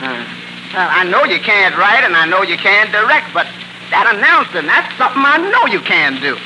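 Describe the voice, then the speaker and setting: Noisy old radio recording, skeptical waiter, high animated voice, dry comebacks, 1940s comedy. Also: male voice, normal speed. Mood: sardonic, teasing. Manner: sardonic, needling comebacks.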